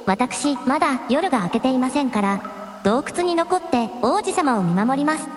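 A woman's voice: sits high, expressive, moderate pace. A woman playing a proud, courteous dragon princess in a radio drama, with very polite, ladylike speech. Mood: polite, concerned. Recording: echoey cave-like reverb, music behind.

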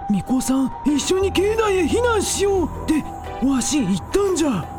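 An old village evacuee acted out by a performer in a radio drama. The voice is high and the speech folksy and fretful, over clearly audible music. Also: very animated, moderate pace. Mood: anxious, fretful. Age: older. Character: worried elderly village man evacuee, folksy.

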